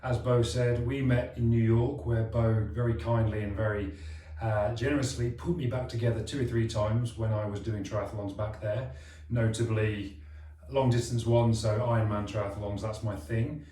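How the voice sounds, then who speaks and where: A man's voice: lowish voice, steady tone, normal speed, mellow. A British man in his forties telling his sporting history in a plain, matter-of-fact way. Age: 40s. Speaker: patient, athlete guest. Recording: roomy, slightly distant.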